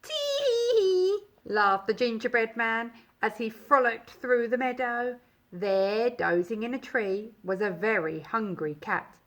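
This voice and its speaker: A woman's voice: a little high, a little slow, a bit bright. A woman reading a children's story aloud in a dramatic, emphatic storytelling style.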